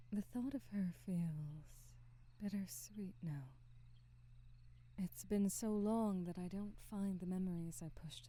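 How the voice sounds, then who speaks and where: A woman playing a kindly, wistful, motherly witch in an ASMR roleplay, soft, breathy and often whispering, with tender, formal phrasing. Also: lowish voice. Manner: slow, tender, old-fashioned formal phrasing.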